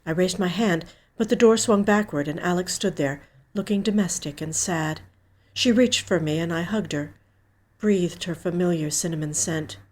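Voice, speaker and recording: A woman reading a first-person mystery story as a scripted narration, measured and expressive. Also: on the low side. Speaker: actor reading a short story.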